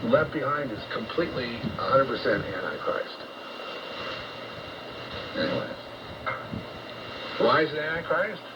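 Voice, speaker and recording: An American man preaching on shortwave radio in a blunt, confrontational, profane rant, halting and repeating himself. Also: medium pitch, lively intonation. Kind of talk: unscripted ranting sermon. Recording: noisy muffled shortwave radio broadcast.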